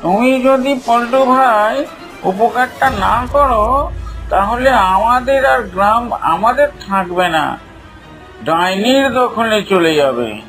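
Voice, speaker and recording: A man's voice: very high-pitched, animated, slow, fairly bright tone, soft background music, pitched above the performer's own voice, exaggerated voice. A man voicing a worried, determined village friend in a cartoon, earnest and urging.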